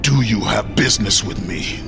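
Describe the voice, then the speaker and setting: Grim Spartan warrior under loud music. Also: male voice, fairly low pitch, expressive, normal speed, vocal fry. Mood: guarded, defiant. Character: grim, distrustful Spartan warrior man, scornful. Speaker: video game character. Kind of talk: dramatic game voice acting.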